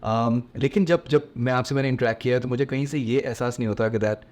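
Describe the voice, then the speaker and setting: A man's voice: mid-range voice, rapid-fire, a little mellow. A male interviewer asking long, winding questions and switching between English and Hindi. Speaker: interviewer, host.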